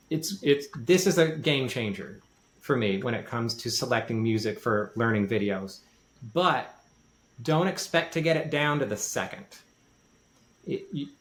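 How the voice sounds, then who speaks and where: A man talking casually and explaining in detail, often repeating and rephrasing for emphasis. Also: mid-range voice. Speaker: podcast guest with a music background. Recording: roomy, echoey sound.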